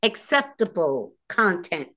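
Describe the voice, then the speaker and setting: A woman's voice: on the low side, big pitch swings, slow pace, bright, forceful tone. A woman hosting and producing her own talk show, chatting casually with many fillers and restarts. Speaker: host and producer. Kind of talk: casual interview chat.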